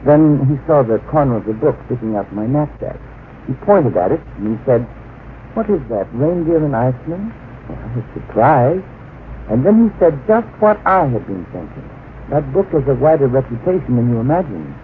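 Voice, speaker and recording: An actor playing a dreamy, self-satisfied young secretary and would-be author in an old-time radio drama, airy and excitable. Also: male voice, mid-range voice, normal speed, close to an everyday voice. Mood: pleased, smug. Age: young adult. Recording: tinny, hissy old radio recording.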